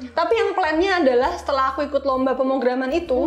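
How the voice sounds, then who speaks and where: High-voiced young woman, echoey room. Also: fast talker. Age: young adult. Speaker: interview guest, iOS developer. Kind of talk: casual conversational storytelling. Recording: roomy, echoey sound.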